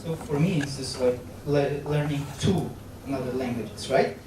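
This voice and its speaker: A man's voice: mid-range voice, noisy background. Polish-accented man lecturing in an echoey hall. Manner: halting, with frequent fillers, restarts and gestures. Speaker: guest lecturer on astronaut training. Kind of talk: public lecture. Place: large lecture hall, on stage. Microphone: handheld microphone. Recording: very echoey hall, captured from far off.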